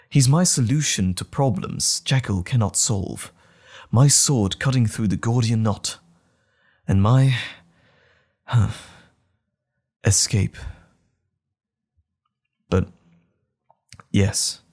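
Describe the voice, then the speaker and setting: Man acting troubled gentle doctor, slow pace, mid-range voice, hesitant, earnest, formal, audio drama. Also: medium pitch. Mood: reflective, earnest. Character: gentle, troubled, apologetic doctor with a wild alter ego.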